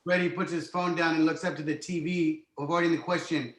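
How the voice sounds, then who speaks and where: Echoey, higher-voiced male screenplay narrator. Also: steady tone, brisk, a bit bright, a little hiss or hum. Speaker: stage-direction narrator. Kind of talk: scripted narration for a screenplay table read. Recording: roomy, echoey home recording.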